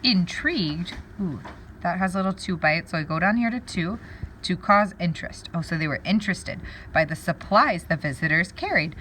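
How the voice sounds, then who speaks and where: A woman teacher doing a read-aloud lesson, pausing to wonder aloud and explain words to her pupils. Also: lowish voice, animated, bright, forceful tone, a little hiss or hum, rough recording.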